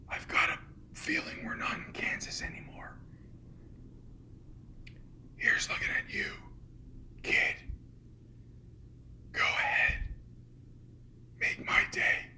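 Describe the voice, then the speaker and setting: A host whispering a comedic parody of ASMR in a sarcastic, irreverent way, swearing freely. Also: bright, forceful tone. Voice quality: whispered throughout.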